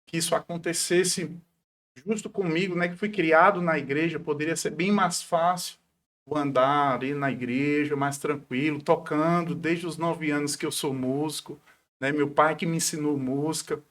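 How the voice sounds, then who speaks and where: A man around forty speaks in a slightly high voice. He is a pastor being interviewed, talking devoutly and conversationally about himself. He often punctuates his sentences with brief tag questions. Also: steady tone, moderate pace, fairly bright tone. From Paraíba, northeastern Brazil.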